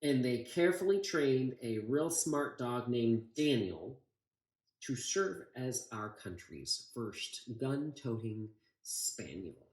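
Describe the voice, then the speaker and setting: A man reading a rhyming children's story aloud expressively, with deliberate emphasis on phrases. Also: mid-range voice. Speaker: volunteer storybook reader. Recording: echoey room.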